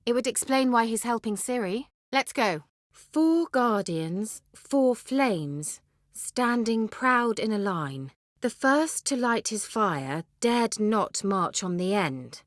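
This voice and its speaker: An actress playing a self-assured, coy and haughty young sorceress in a video game, with articulate, teasing, theatrical delivery. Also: female voice, fairly high pitch, lively intonation, takes it slowly, no room sound, studio quality. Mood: earnest, measured, then slow, reciting. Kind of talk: video game character acting.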